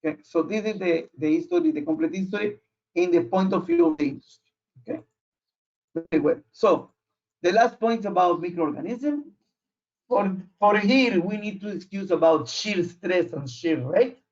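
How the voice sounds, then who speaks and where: A man who is not a native English speaker, giving an explanatory technical presentation in a halting way, searching for words. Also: sits high, lively intonation, darkish tone. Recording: muffled, dull online audio.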